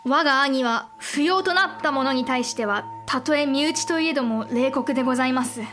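A woman playing a shrewd, composed young noblewoman in a Japanese period audio drama, speaking formal archaic language with measured assurance. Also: high voice, a bit bright, faint music behind. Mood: composed, assured.

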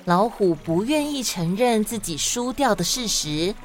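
A woman's voice: medium pitch, expressive, a little slow, faint music behind. A woman with a Taiwanese accent hosting and narrating stories expressively for children. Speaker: host and storyteller of a children's podcast.

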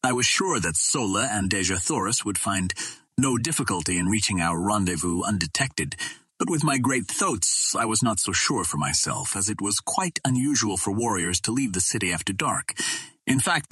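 A man narrates an old adventure novel in a steady, measured way. His voice sits on the low side and carries a husky grain. The recording is close and dry, with no room sound. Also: no echo. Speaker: audiobook narrator. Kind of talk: scripted narration.

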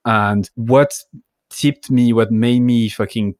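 A man's voice: medium pitch, steady tone, takes it slowly, a little mellow, dry recording. A man hosting a podcast who answers candidly and reflectively, speaks plainly and swears now and then. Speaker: podcast host. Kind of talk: podcast interview.

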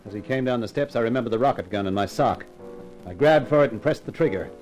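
An actor playing a wry, tough private eye in a 1950s radio crime drama. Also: male voice, medium pitch, lively intonation, fast talker, lo-fi sound, loud music behind the voice, close to an everyday voice. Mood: tense narration. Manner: wry, wisecracking. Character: wisecracking tough private eye, brave and flirtatious. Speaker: lead actor and narrator.

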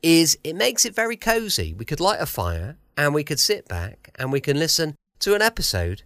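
A man's voice: a little high, huge pitch swings, moderate pace, fairly bright tone, no room sound, studio quality. A British man hosting a podcast in a casual, chatty, rambling and colloquial way. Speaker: podcast host. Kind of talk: casual chatty show introduction.

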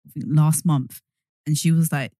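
A woman's voice: sits low, fairly even intonation, moderate pace, mellow, dry recording, studio quality. A woman, co-hosting a casual podcast and telling stories with laughs. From East London. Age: 30s. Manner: laughing, storytelling. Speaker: podcast co-host. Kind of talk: casual chat.

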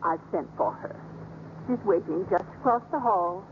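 Mother Superior, played by a woman: gently authoritative, proper, composed; thin, noisy vintage radio sound. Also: on the low side, expressive, normal speed, close to an everyday voice. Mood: firm, composed. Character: kindly but firm nun, orphanage Mother Superior. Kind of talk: 1950s radio drama acting. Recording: noisy, narrow-band old radio broadcast.

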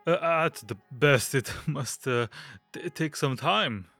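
An actor playing a nervous, fussy gentleman aesthete in an audio drama, hesitant and stammering in his delivery. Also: mid-range voice, slow pace, faint music behind, exaggerated voice. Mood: anxious, fussy. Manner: hesitant, stammering, nervous giggles and throat-clearing.

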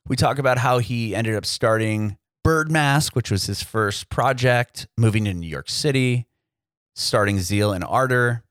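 A man's voice: medium pitch, measured pace, fairly bright tone, dry recording. Man, podcast host, chatty and upbeat, dry close sound. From San Diego, United States. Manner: chatty, upbeat, many fillers and restarts. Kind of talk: casual interview chat and scripted intro.

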